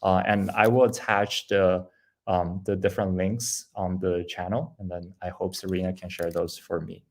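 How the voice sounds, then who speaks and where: Deep gravelly man on a video call. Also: level tone. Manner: loose, halting, with many ums and restarts. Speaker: game studio presenter. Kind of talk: casual online presentation.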